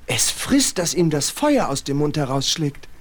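A man's voice: on the high side, very animated, unhurried. A man playing a naive, lovesick snowman with an earnest, wondering manner in a children's fairy-tale audio drama.

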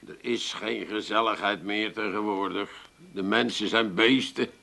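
A man's voice: fairly low pitch, unhurried, creaky, sharp tone, full character voice. An actor playing a gloomy, self-pitying older man with an Amsterdam accent in a radio play, dry and theatrical. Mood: morose.

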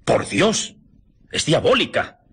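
An actor playing a scientist's concerned, alarmed friend in a radio drama, speaking urgently. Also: male voice, a little high, huge pitch swings, slow pace, fairly bright tone, full character voice. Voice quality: husky.

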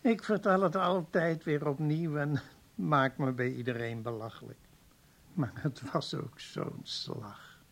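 Gravelly, kindly old professor. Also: male voice, on the high side, measured pace. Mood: wistful, apologetic. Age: 70s. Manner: hesitant, courteous, rambling. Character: kindly, wistful old professor heading a provincial hospital. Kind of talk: Dutch radio play acting.

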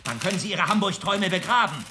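An actor playing a gruff, threatening newspaper boss in a radio drama, scornful and sarcastic. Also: male voice, high voice, animated, fast, pushed and bright, a little hiss or hum. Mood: angry, sarcastic. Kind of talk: German radio drama acting.

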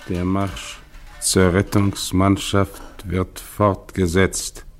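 An actor playing a middle-aged, exhausted polar expedition captain who is freezing and fading, speaking in halting, resigned fragments with a husky voice. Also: male voice, deep voice, monotone, faint music behind. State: freezing, weakening. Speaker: expedition leader. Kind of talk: radio drama monologue.

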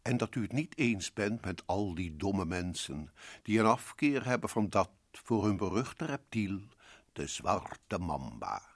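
Radio horror host: macabre gentleman storyteller, very low, swooping intonation, sardonic and mock-cosy. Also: sing-song, measured pace, no echo, studio quality, exaggerated voice. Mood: sly, mock-cosy. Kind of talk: theatrical radio horror hosting.

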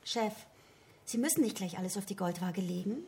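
Female, pert secretary in radio crime drama; cheeky, plain-spoken, mid-pitched. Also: expressive, brisk, vocal fry, dark tone, slight background noise. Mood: challenging. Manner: pert, questioning.

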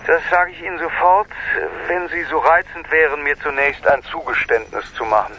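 An actor playing a brisk, courteous, calculating police general on the phone in a radio drama. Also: male voice, a little high, steady tone, sharp tone. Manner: formal, brisk. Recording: dull telephone-like line, faint noise.

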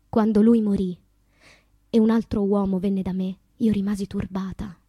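Radio play: wounded, wistful woman poet, husky and breathy, dramatic deliberate delivery, very dry close sound. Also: medium pitch, mellow, dry recording. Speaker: actress. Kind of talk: theatrical radio monologue of poetic memoir.

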